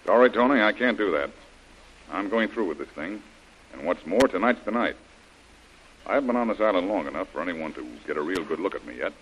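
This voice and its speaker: Low-voiced, decisive radio adventure hero. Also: male voice, expressive, brisk, a bit bright, highs cut off. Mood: determined. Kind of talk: old-time radio adventure serial acting. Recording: hissy, noisy vintage radio recording.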